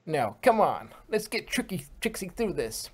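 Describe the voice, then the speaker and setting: A woman's voice: on the low side, big pitch swings, moderate pace, darkish tone, breathy edge. A woman voicing a firm, loving old grandmother pony, earnest and reassuring, in an amateur fan fiction reading. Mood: encouraging.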